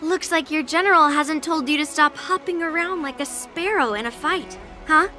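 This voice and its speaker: A woman's voice: high voice, moderate pace, bright, forceful tone, soft background music. A woman voicing a brash, confident young female sword master in an anime-style game, blunt and teasing. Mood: annoyed, mocking.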